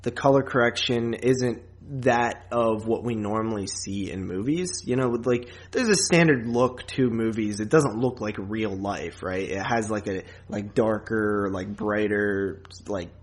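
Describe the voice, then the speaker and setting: A man co-hosting a podcast, talking casually about films in a rambling, halting way. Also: fairly low pitch. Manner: rambling, halting, filler-heavy. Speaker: podcast co-host. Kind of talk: casual movie discussion.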